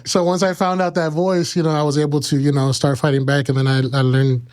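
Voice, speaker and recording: Halting American man, clear, even voice. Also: fairly high pitch. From Kansas and Mexico. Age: 30s. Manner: halting, frequent "you know" pauses. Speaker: interview guest. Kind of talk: casual personal storytelling.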